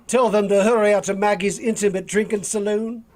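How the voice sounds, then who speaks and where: An actor playing a jovial, folksy bodyguard sidekick in a vintage radio drama, talking in an amused drawl. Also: male voice, sits high, flat delivery, brisk, close to an everyday voice. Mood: marvelling.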